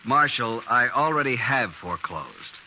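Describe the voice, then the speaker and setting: Sharp, cold-hearted moneylender. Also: male voice, mid-range voice, sing-song, unhurried, lo-fi sound, exaggerated voice. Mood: cold, impatient. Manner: curt, haughty. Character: cold, hard-hearted wealthy male moneylender. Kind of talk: 1950s radio drama acting. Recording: band-limited, faintly noisy 1950s radio recording.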